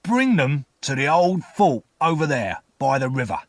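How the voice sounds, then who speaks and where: A man voicing a rough, menacing escaped convict in a storybook reading, growling and commanding. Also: fairly high pitch, sing-song, no room sound, clean studio sound, voice pushed up. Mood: slow, menacing. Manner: forceful, commanding, slow threats.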